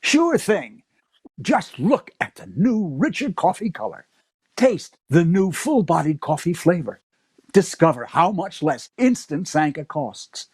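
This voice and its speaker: A man's voice: fairly high pitch, sing-song, unhurried, slightly rough, no room sound, clean studio sound, full character voice. A man acting an eager, upbeat salesman in an old-time radio commercial. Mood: enthusiastic. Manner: enthusiastic salesman. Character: eager, upbeat husband pitching coffee in a commercial.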